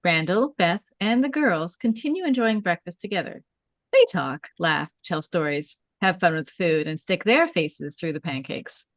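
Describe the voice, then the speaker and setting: Woman narrator reading out a script at a table read, slightly low voice, pretty dry sound. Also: animated, normal speed, no room sound.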